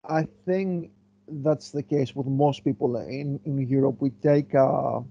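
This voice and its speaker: A man's voice: mid-range voice, steady tone, takes it slowly, creaky, breathy edge, highs cut off. A man from Greece, a historian answering interview questions thoughtfully, with many fillers and restarts as he searches for words. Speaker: guest historian.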